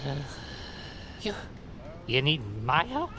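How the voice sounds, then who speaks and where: An actor playing a wisecracking ghost sidekick in an audio drama, with a folksy, slangy, theatrical way of talking. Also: male voice, fairly high pitch, wildly swooping pitch, slow pace, background noise, full character voice. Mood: surprised, folksy. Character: wisecracking ghost sidekick, folksy, eerie male street buddy. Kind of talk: audio fiction acting. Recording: loud music under the voice.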